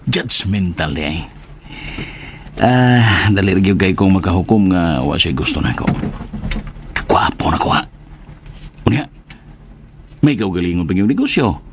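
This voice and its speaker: A man's voice: sits low, lively intonation, moderate pace, long pauses, background noise. An actor playing a vain, touchy middle-aged businessman in a comic radio drama, boastful and theatrical. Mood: vain, indignant.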